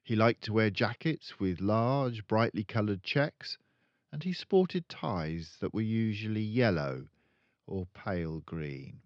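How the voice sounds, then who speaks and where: An older man reading a children's book aloud as a storyteller, expressive and deliberate. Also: fairly low pitch, no room sound, highs cut off.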